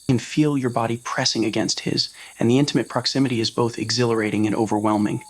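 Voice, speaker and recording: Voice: medium pitch, flat delivery, fast, low-quality recording. A narrator reading a scripted romantic roleplay story in the second person, voicing the scene as well as the dialogue. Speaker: narrator of fan roleplay audio stories.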